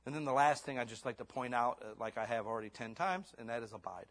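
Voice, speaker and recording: A man in his fifties preaching a sermon in church. He swings between hushed, intimate lines and fervent, rising exhortation, then closes in prayer. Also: mid-range voice, fast. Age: 50s. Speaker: pastor.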